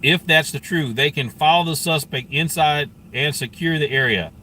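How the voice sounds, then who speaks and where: A man with a bright, forward voice streaming live commentary. He talks conversationally and thinks out loud, with faint vehicle and scanner noise behind him. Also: fairly high pitch, moderate pace.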